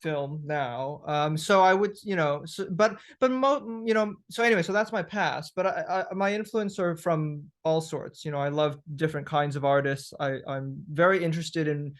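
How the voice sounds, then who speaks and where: A man from Thailand speaks as a guest in an interview. His voice sits a little high. He thinks aloud, pausing and restarting as he searches for words. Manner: thoughtful, hesitant, many restarts. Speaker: guest musician.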